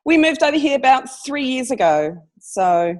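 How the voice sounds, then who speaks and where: A woman's voice: high-pitched, sing-song, normal speed, darkish tone. Friendly woman with a Kiwi accent. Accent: New Zealand. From New Zealand. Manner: friendly, laughing, a little self-deprecating. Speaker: guest photographer. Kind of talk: casual interview chat.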